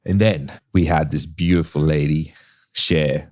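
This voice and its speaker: A man's voice: rumbling low, fairly even intonation, measured pace, a little mellow. A man hosting a podcast, narrating recaps between clips. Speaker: podcast host. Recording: music bed under voice.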